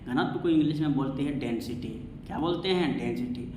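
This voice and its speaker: A man's voice: on the high side, brisk. A man teaching an exam-prep science lesson, repeating himself and asking questions that he answers himself. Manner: repetitive, asks and answers own questions. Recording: echoey room, faint background noise.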